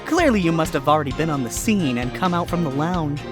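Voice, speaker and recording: Dude doing a smug fox lawyer, dry sarcastic jabs, voice swinging up and down, music clearly playing under. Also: male voice, on the high side, expressive, brisk, natural voice. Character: smug sly male fox defense lawyer.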